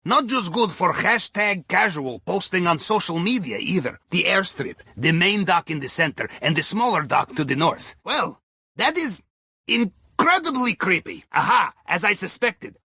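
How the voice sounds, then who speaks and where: A man's voice: sits high, very animated, normal speed, pushed and bright, slightly rough, no room sound, studio quality, exaggerated voice. A man playing an eccentric, cheerful Russian submariner in a video game, guiding a heist with wry, conspiratorial chatter and quaint non-native phrasing. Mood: musing, playful. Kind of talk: video game character voice lines, mission guidance over comms.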